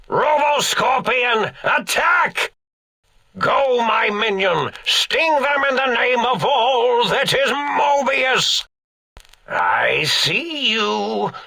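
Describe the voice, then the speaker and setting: An actor playing a manic, cackling old mad scientist villain in a video game, theatrical and grandiose, with a raspy, gravelly voice and drawn-out delivery. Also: male voice, very high voice, pushed and bright, heavily acted. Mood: grandiose, menacing.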